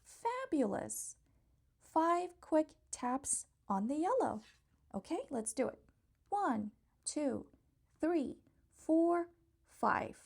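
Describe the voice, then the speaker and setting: Female children's read-aloud host: high, swooping delivery, unhurried, encouraging, talks straight to little listeners with a touch of husky fry. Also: sing-song. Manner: encouraging, coaxing, addressing small children directly; playful, exclamatory reactions. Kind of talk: interactive children's picture book read-aloud.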